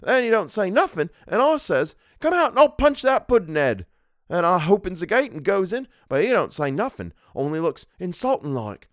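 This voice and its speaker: A man's voice: high-pitched, sing-song, moderate pace, dry recording, clean studio sound, voice pushed up. A man voicing a young Cockney servant in a story, deferential and colloquial, by turns sheepish and indignant. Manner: colloquial, deferential, full of sirs.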